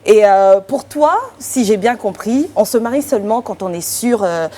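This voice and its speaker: A woman talk show panelist lays out long questions slowly, pausing often to find her words. Her voice is mid-pitched and plain. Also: expressive, warm tone. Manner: deliberate, hesitant with fillers, long questions. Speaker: co-host panelist.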